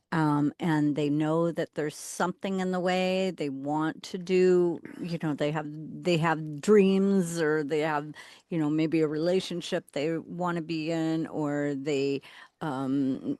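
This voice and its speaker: Low, slow-spoken woman guest. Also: a little mellow, smooth, dry recording, studio quality. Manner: slow and measured, hesitant fillers. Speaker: podcast guest. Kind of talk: casual podcast conversation.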